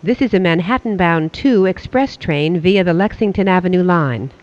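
A transit announcer reading scripted subway train announcements in a formal, measured way. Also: medium pitch. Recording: dull, lo-fi audio.

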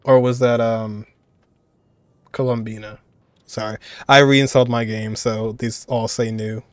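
A man's voice: mid-range voice, steady tone, clean tone, dry recording. Musing young man, dry close sound. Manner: musing, halting, trails off. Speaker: streamer reacting to game voice lines. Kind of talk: casual off-the-cuff commentary.

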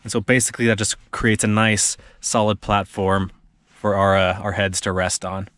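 A man in his thirties talks through his camping gear in a loose, rambling way, stopping and restarting as he goes. His voice sits fairly low. The sound is dry and close, recorded inside a parked SUV on a handheld camera. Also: moderate pace, no echo. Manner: relaxed, rambling, with many fillers and restarts. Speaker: vlogger showing his camping setup. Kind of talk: casual walkthrough. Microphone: action camera held in hand.

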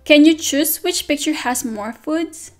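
A woman teaching a circle-time lesson to toddlers, speaking in a slow, sing-song, encouraging way and gasping with praise. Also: high voice, soft background music. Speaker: preschool teacher.

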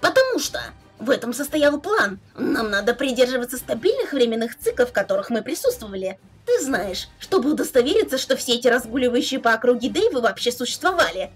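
Casting: woman as teenage alien troll girl, bossy and teasing, high and swooping, theatrical webcomic dub. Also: very animated, fairly quick, hardly any pauses, faint music behind. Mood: bossy, playful. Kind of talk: fan dub of a webcomic chat.

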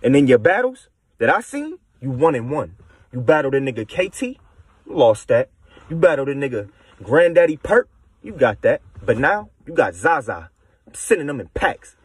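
A young man with an American accent and a husky, rough voice, delivering aggressive, boastful battle rap verses a cappella. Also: medium pitch, big pitch swings, normal speed. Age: young adult. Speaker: battle rapper.

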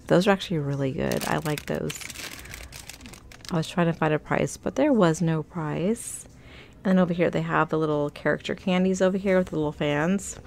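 A woman narrates her store walk-through in a relaxed, upbeat chat, pointing out items and prices. Her voice sits a little low and has a gravelly, fry-laden edge. It sounds picked up on a handheld phone inside a big shop. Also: a little hiss or hum. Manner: chatty, upbeat, reads out prices. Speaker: shopping vlogger. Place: inside a large retail store.

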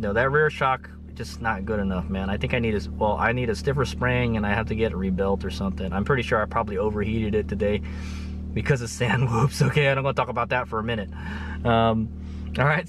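A man's voice: medium pitch, noisy background. A man in his thirties giving a casual, rambling trip recap to camera from inside a vehicle, chuckling often and tagging phrases with "okay". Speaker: motovlogger.

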